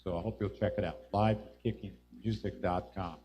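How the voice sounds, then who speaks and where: A man's voice: low-pitched, steady tone, brisk, mellow. A man hosting a live music show, casually introducing acts from the stage. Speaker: host.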